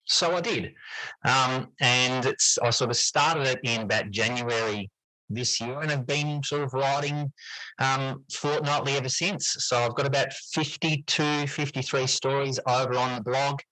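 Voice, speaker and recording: A man's voice: mid-range voice, unhurried, bright, forceful tone, no room sound, poor audio. A middle-aged man answering interview questions in a conversational, reflective and explanatory way. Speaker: interview guest, teacher and writer.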